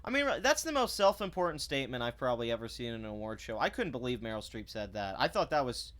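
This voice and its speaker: Guy ranting into his cam, sarcastic and cussing, keeps restarting his sentences, normal pitched voice. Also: sing-song, quick pace, a bit bright. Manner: sarcastic, blunt, crude; stumbling restarts when heated. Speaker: commentary vlogger. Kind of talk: ranting commentary.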